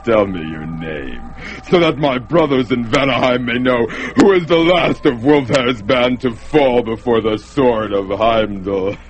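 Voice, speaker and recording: An actor playing a boastful, taunting red-bearded warrior in a radio drama. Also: male voice, animated, faint music behind, close to an everyday voice. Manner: taunting, deep, slow.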